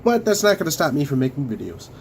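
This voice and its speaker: A young man hosting a casual room tour vlog of his bedroom studio, talking haltingly with many fillers and restarts while coming down with a cold. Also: a little high, sing-song, slight background noise. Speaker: Lego channel host.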